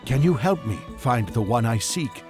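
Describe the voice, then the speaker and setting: A man's voice: medium pitch, animated, music in the background, lightly acted. A man playing a devout, weary Magian pilgrim in an audio drama, speaking formally and earnestly. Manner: formal, solemn, measured.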